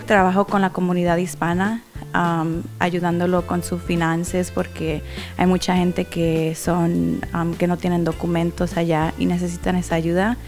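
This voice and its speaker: A young woman student talks about her studies, searching for words with hesitant "um" pauses. Her voice sits low and stays flat in pitch. She speaks into a handheld mic. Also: faint music behind. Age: young adult. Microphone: handheld reporter mic.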